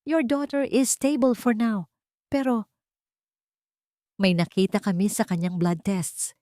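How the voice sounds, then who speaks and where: A woman voicing a serious hospital doctor in a storybook reading, grave and measured. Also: mid-range voice, steady tone, warm tone, no room sound, studio quality, voice pushed up, lightly acted. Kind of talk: scripted narration.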